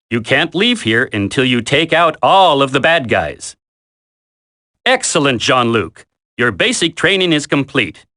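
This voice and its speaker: A man's voice: medium pitch, sharp tone, dry recording, clean studio sound. A man voicing a stern military combat instructor in a video game tutorial, giving blunt, emphatic instructions. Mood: challenging. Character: stern, gruff male military combat instructor briefing a trainee. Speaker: training instructor.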